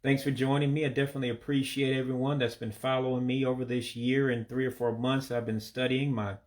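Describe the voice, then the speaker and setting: An American man, a native English speaker, giving a casual video language lesson and slowly repeating words for pronunciation. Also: mid-range voice, flat delivery, a little mellow. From United States. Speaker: language learner teaching vocabulary.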